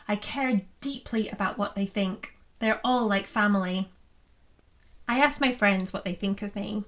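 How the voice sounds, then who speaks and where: A woman's voice: on the high side, a bit bright. A woman reading a first-person school essay aloud as a scripted narration. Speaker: reader at a book launch.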